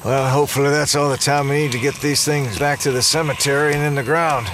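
An actor playing a steady, good-natured small-town sheriff with a folksy, sincere manner in an audio drama. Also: male voice, fairly high pitch, quick pace, background noise, close to an everyday voice. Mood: intent.

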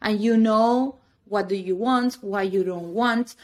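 A woman's voice: medium pitch, measured pace. A woman around thirty, a native Spanish speaker, chatting casually on a podcast in non-native English and laughing often. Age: 30s. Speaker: podcast co-host. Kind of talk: casual chat.

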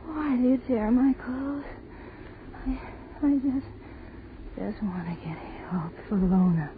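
An actress playing a terrified young woman in 1940s radio horror style, halting and pleading as she repeats herself in desperation. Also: fairly high pitch. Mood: pained, faltering. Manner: halting, repetitive, pleading speech. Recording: scratchy, tinny 1940s radio broadcast.

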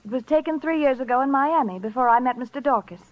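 An actress playing a sharp-tongued, cornered femme fatale in a 1950s radio crime drama, curt and insolent. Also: female voice, sits high, fast, lightly acted. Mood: defiant, sullen. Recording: dull vintage radio recording.

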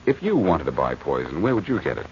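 An actor playing a smooth, wisecracking amateur detective with an American accent in a 1940s-style radio drama, brisk and flirtatious. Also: male voice, a bass voice, very animated, darkish tone, heavily acted. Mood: probing, flirtatious. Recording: muffled, noisy old radio recording.

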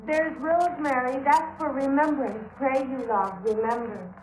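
A gentle young actress in a radio play. Her high voice is delicate and theatrical. It sounds roomy and a little away from the mic, like an old 1950s broadcast. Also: measured pace, recorded from a distance. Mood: wistful. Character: gentle young actress reciting Shakespeare's Ophelia. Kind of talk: 1950s radio drama acting.

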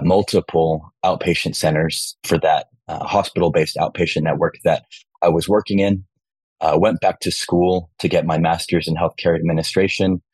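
Brief: male guest, very low and flat voice, husky, measured delivery with many ums. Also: no room sound. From Texas. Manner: measured, steady, frequent ums and uhs. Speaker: guest, rehab director. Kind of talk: interview answers. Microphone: remote call.

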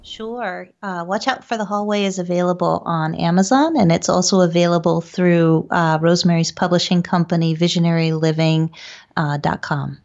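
Middle aged lady, lower voice, telling a story on an interview show, kinda dull lofi audio. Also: normal speed, darkish tone. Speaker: guest, author and psychic medium. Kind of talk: conversational storytelling. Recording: dull, lo-fi sound.

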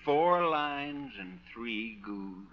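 An actor playing a folksy, teasing small-town pal with a drawling manner, in 1950s radio sitcom style. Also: male voice, medium pitch, animated, drawn-out words. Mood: teasing, amused. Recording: muffled, noisy old radio broadcast with clicks.

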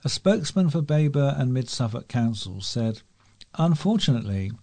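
Man, mid-pitched, mellow dark tone, volunteer newsreader, scripted local news. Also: sing-song, normal speed. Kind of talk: scripted local news reading for a talking newspaper.

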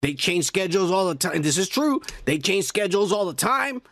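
Raspy, profane Mexican-American man. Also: high voice, very animated, a little fast, no room sound. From United States. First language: Spanish. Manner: loud, profane, jokey, laughs often. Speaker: podcast co-host. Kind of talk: crude casual banter. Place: home, indoors.

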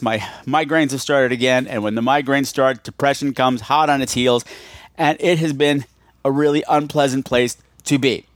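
A man hosting a horror podcast in a chatty, campy way, with theatrical drawn-out emphasis. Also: medium pitch, no echo. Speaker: podcast host.

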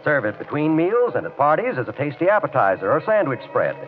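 A male commercial announcer reads 1940s radio ad copy in a bouncy, fairly high voice. The recording is thin and tinny, with faint music. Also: very animated, brisk. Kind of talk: 1940s radio advertisement read.

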